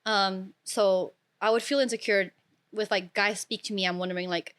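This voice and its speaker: Young woman guest, international-school accent, mid pitch, chatty, lots of "like". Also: steady tone, normal speed, fairly bright tone. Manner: chatty, many fillers of "like" and "um". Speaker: podcast guest. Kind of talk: casual chat.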